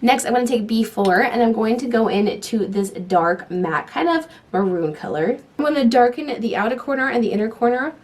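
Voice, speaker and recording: A woman's voice: on the high side, animated, normal speed, hardly any pauses. A young woman vlogger, talking through a makeup tutorial in a chatty, enthusiastic way, with her voice a little off from a lingering cold. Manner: chatty, enthusiastic, talks while applying makeup. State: voice affected by a cold. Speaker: beauty vlogger. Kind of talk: casual makeup tutorial talk.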